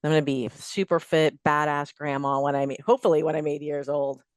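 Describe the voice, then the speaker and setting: A woman's voice: low-pitched, level tone, fairly quick, smooth, dry recording, clean studio sound. A middle-aged woman chatting as a podcast guest, giving emphatic, plain-spoken fitness advice and building her points in lists. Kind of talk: casual interview chat giving advice.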